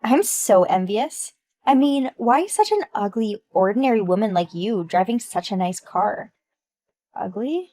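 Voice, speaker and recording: A woman's voice: medium pitch, lively intonation, measured pace, warm tone, no room sound, close to an everyday voice. A woman voicing Amelia, a vain and jealous woman who speaks in a catty, mocking and pushy way. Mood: catty envy.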